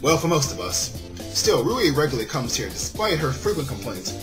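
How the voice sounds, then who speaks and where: Man, mid pitch, casual visual novel narration, stumbling and self-correcting, game music behind. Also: big pitch swings, a little fast. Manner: stumbles over read lines and corrects himself. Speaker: video game let's-play host. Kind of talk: reading a visual novel aloud with casual commentary. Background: game background music.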